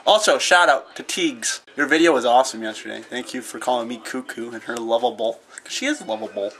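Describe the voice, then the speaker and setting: A man's voice: medium pitch, expressive, a little fast, vocal fry, a bit bright. A young man filming a home video in a kitchen, joking and teasing in casual banter. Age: young adult. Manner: teasing, wisecracking. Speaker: vlogger filming. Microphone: handheld camera mic.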